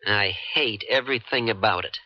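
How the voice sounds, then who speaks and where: An actor playing a cynical, cash-strapped American charter boat skipper in a 1970s radio drama, wry and offhand. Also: male voice, on the low side, moderate pace, lightly acted. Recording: dull 1970s radio broadcast recording.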